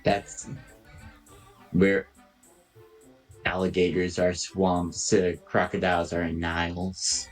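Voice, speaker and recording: Very deep, slow-talking man. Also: flat delivery, faint music behind. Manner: goofy, teasing banter. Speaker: player.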